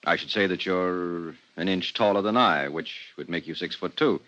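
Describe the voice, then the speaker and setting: A man's voice: sits low, moderate pace, pushed and bright. An actor playing a dry, sardonic policeman husband who asks probing questions in a 1950s radio drama. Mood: wry, suspicious. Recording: muffled 1950s radio recording.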